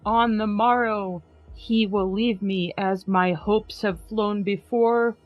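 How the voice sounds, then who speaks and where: A woman podcast host giving a dramatic poetry reading, trading lines with a co-reader in a measured way. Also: medium pitch, fairly even intonation, no room sound. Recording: slightly muffled with faint music.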